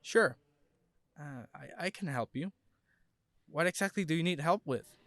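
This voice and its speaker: A man's voice: a little high, expressive, unhurried, a bit bright, dry recording. An actor playing an earnest, shy young man in an audio drama, with a hesitant way of talking. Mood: shy, awkward. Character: John, devoted young husband, also shy teenage student.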